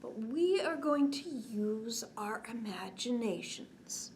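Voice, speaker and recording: Slow, high-voiced woman storyteller for children. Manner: expressive, drawn-out words, often dropping to hushed whispery tones. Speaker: children's librarian and story time host. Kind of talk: children's bedtime story time, reading and coaxing along. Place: indoors, small curtained set. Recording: clear background noise.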